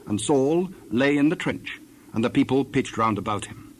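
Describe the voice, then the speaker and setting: A man narrating a dramatized scripture, reading scripted archaic text in a measured, formal way. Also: mid-range voice, lively intonation.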